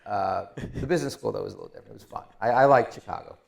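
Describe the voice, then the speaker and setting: Low, gravelly Israeli-accented man. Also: lively intonation. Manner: dry self-deprecating humor, quick asides. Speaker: guest. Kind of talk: casual interview.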